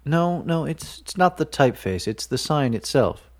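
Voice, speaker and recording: A man voicing a large, weary male businessman who speaks in a dry, low-key, reluctant way. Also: expressive, normal speed.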